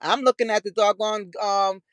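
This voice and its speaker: A woman's voice: medium pitch, fairly even intonation, normal speed, clean tone, dry recording. A woman with an American accent co-hosting a podcast, talking over her opinions in a casual, blunt and colloquial way. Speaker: podcast co-host.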